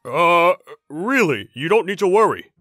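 A man's voice: sits high, big pitch swings, measured pace, sharp tone, no echo, studio quality, full character voice. Man playing a flustered, earnest teenage schoolboy. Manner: earnest, stammering when flustered. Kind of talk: manga dub voice acting, scripted.

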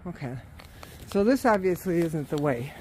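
A woman's voice: lowish voice, lively intonation, normal speed, dark tone, a little hiss or hum, rough recording. A woman walking an outdoor wooded trail and filming with a handheld phone, talking casually and exclaiming often, out of breath from the climb. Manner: chatty, exclaims often, laughs. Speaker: vlogger.

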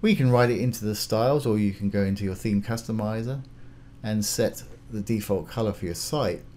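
Man, lowish pitch, informal tutorial host, step-by-step delivery, talks through each action, faint noise with keyboard clicks. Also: a little fast, darkish tone. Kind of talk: casual step-by-step software tutorial. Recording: clear background noise, keyboard typing.

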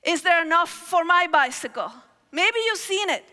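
A middle-aged woman preaches on a big hall stage through a headset mic. Her voice is high and bright, her storytelling animated. The long reverb of the hall trails each phrase. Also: moderate pace. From Argentina. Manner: animated storytelling with gestures, calls on the crowd. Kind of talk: sermon. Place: large hall stage with audience.

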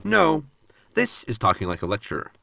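A man's voice: mid-range voice, wildly swooping pitch, normal speed, vocal fry, bright, forceful tone, no echo, exaggerated voice. A man playing an earnest, pedantic android engineer in a sci-fi sitcom audio drama, formal and lecturing.

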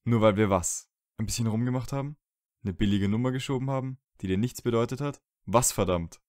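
Audio drama: wounded, stubborn young wizard male actor, dark mellow tone, dry recording, earnest and colloquial. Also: mid-range voice, fairly quick, dry recording, lightly acted. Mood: hurt, angry. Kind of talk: fan audio drama acting.

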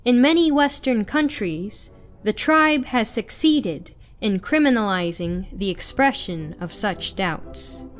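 A young woman narrates a written piece over clearly audible music. Her pitch sits in the middle for a woman. She reads very slowly, in a deliberate and formal way. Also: animated. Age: young adult. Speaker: narrator reading an article aloud. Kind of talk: scripted read-aloud narration.

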